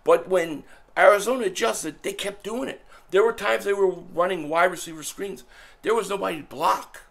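A man with a gravelly, worn-out voice holds forth like an excited fan. He speaks a little high, pausing and restarting as he talks over the game. He is recorded in a small room at home. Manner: animated, fan talk with frequent restarts. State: losing his voice, hoarse. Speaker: sports vlogger. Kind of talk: casual sports commentary vlog.

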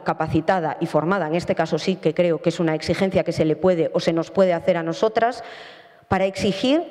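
A woman's voice: fairly low pitch, mellow. A woman in her forties giving a formal conference talk on stage, speaking earnestly into a handheld microphone and arguing her points with frequent self-corrections. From Spain. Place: large auditorium stage. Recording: long hall reverb.

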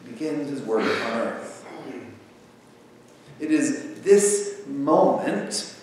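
A man in his thirties preaching a sermon from a church pulpit, talking in a conversational, animated way. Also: medium pitch, extremely slow. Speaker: pastor. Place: large church sanctuary with pipe organ. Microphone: far camera across the sanctuary. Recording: echoey sanctuary from far off, faint hiss.

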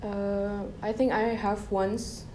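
Flat, mellow-voiced young woman from Indonesia. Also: medium pitch, slow pace, echoey room, a little hiss or hum, poor audio. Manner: hesitant, many uh fillers. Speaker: interviewee, design student. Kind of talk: casual interview answers. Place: indoors by a projection screen.

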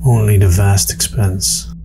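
A man narrating a guided meditation in an unhurried, soothing manner with long pauses. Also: fairly low pitch, flat delivery. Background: meditation music with singing bowls.

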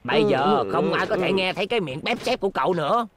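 A man's voice: high voice, animated, fast talker, over a noisy crowd. A man voicing a bossy, secretive shopkeeper in a dubbed cartoon, curt and scolding. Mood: scolding, threatening. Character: bossy, secretive male shopkeeper, wary of trouble. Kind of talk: animated series dub acting.

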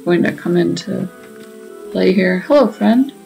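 A woman's voice: medium pitch, animated, a little slow, creaky, warm tone. A woman hosting a gaming channel, giving chatty, explanatory commentary as she plays. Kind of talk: casual game commentary. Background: faint game music.

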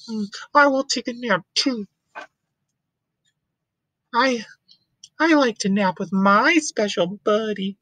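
A man voicing an excitable young female pig in a children's picture book, with exaggerated, childlike, drawn-out delivery. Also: high-pitched, dry recording, voice pushed up, heavily acted. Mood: sleepy, pleased. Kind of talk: picture book read-aloud.

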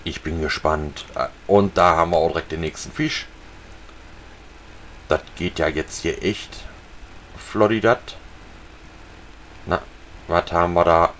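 Low-voiced German man playing a game. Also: slow pace, long pauses, a little hiss or hum. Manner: relaxed, talks to viewers while fishing in a game. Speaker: gaming channel host. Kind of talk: casual let's-play commentary.

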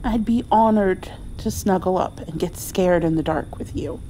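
A woman playing a doting, playful mother comforting her grown child in an intimate ASMR roleplay, softly and affectionately. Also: lowish voice, a little hiss or hum, lightly acted, poor audio. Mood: wistful, tender. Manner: soft, affectionate, slow with gentle laughs.

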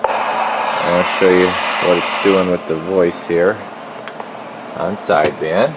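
Low-pitched man casually demonstrating in noisy workshop. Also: takes it slowly. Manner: informal, folksy, talking while working. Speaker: radio repair technician presenting his work. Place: indoor electronics workshop. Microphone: handheld camera microphone. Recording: steady loud equipment hum and noise.

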